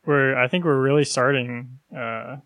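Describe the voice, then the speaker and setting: A young man co-hosting a loose comedy podcast, laughing easily and nudging his partner along with playful questions. His voice sits at a middling pitch, recorded dry and close. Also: steady tone, measured pace, a bit bright, dry recording. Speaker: podcast co-host. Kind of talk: casual comedic chat.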